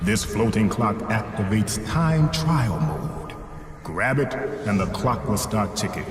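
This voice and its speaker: Deep, echoing wise guardian spirit. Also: male voice, expressive, takes it slowly. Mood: instructive. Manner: grave, solemn, fatherly. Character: wise, protective elder guardian mask spirit. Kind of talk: cartoon game mentor acting. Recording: echoing long reverb with music behind.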